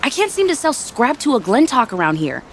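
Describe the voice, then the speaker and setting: A woman's voice: on the high side, sing-song, a little fast, slight background noise. A woman playing a cheerful, eager young weapons tinkerer in a video game, chatty and playful. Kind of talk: video game dramatic acting.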